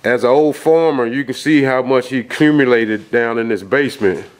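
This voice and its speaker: A man's voice: mid-range voice, moderate pace, rough recording. Stuffy-nosed man rambling in a basement. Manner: rambling, folksy, lots of fillers and restarts. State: has a cold, nasal. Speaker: outdoors video host. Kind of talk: casual vlog walkthrough. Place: dark basement of a house. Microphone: handheld camera.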